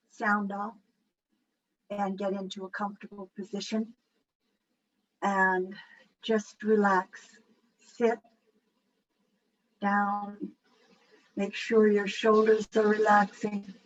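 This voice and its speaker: A woman leading a guided meditation in a soothing, unhurried manner with long pauses. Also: mid-range voice. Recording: dull, lo-fi with faint background noise.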